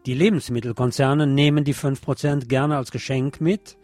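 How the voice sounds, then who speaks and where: A man reads radio news in a sardonic, opinionated way, adding his own commentary as he goes. His voice is mid-pitched, and music plays faintly behind him. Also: steady tone, normal speed, darkish tone. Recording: music bed under the voice.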